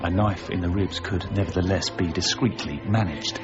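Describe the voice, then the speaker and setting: An actor playing an insolent, falsely jovial villain in a period radio drama, theatrical, mocking and menacing. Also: male voice, low voice, normal speed, lightly acted. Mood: mocking menace. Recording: dull radio recording, music and crowd behind.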